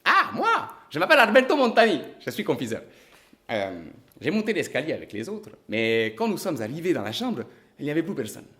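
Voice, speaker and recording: An actor playing an excitable middle-aged confectioner, a witness who talks rapidly and hesitantly with many ums. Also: male voice, fairly high pitch, exaggerated voice. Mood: eager.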